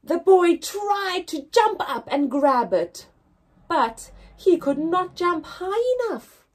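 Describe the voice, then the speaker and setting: A young woman reading a picture story aloud for small children, expressively, with deliberate pauses and emphasis and a slightly husky voice. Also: very high-pitched, very animated. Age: young adult. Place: indoors, small room with painted backdrop.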